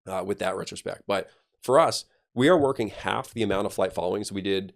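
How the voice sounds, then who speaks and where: A man's voice: fairly low pitch, fairly quick, vocal fry. A man talking as an air traffic controller guest on a podcast, explaining things conversationally and with emphasis.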